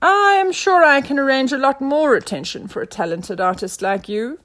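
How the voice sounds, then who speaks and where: A woman's voice: high-pitched, lively intonation, moderate pace, a bit bright, a little hiss or hum, voice pushed up. A woman voicing a pompous, bumbling male animal inspector in a children's mystery story, with blustering exclamations. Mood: persuasive.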